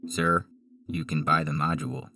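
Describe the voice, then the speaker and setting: A man's voice: very deep voice, little pitch movement, normal speed, creaky. A man voicing an officious corporate sales machine with formulaic lines in a science fiction story. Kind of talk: dramatized audio fiction reading. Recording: music bed behind the voice.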